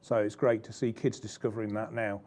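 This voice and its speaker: A man's voice: on the low side, little pitch movement, brisk, darkish tone. A man hosting an interview, asking questions hesitantly with many filler sounds and agreeable asides.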